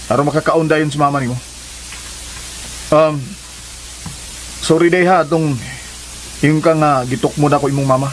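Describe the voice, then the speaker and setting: An actor playing a persistent, generous young suitor with a Cebuano accent in a radio drama, talking in a casual, coaxing way. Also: male voice, on the high side, steady tone, normal speed, pauses often, close to an everyday voice. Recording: hissy recording, faint crackle.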